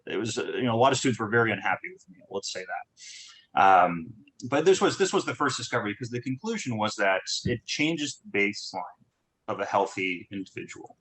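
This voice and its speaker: A man from Southern California, a startup founder telling his background in a relaxed talk, with many restarts and fillers. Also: lowish voice, brisk, husky, rough recording. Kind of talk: conversational talk on a group call.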